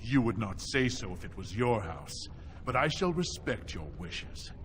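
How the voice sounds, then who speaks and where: A man's voice: fairly low pitch, sing-song, measured pace, a little hiss or hum. An actor playing a proud, haughty nobleman in a fantasy game, indignant and accusing as he defends his house. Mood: offended, curt. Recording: music behind the voice.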